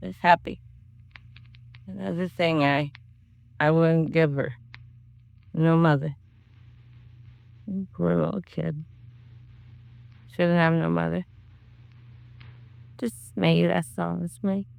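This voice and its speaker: Bitter grieving mother: old-time radio noir, defensive, working-class slang, gravel in a resonant voice. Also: deep voice, steady tone, slow pace, pauses often, a little mellow, no echo, lo-fi sound, exaggerated voice. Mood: grieving, self-blaming. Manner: slangy working-class speech, brooding repetition. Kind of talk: 1950s radio crime drama acting.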